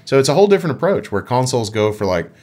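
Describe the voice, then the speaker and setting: A man's voice: mid-range voice, sing-song, racing, a little mellow. A man co-hosting a gaming podcast, chatting casually in a playful, rambling, explanatory way. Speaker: podcast co-host.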